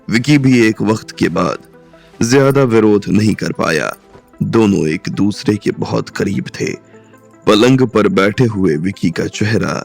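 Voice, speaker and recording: A man narrating a romantic crime audio drama in a measured storytelling manner. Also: fairly low pitch, gravelly, darkish tone.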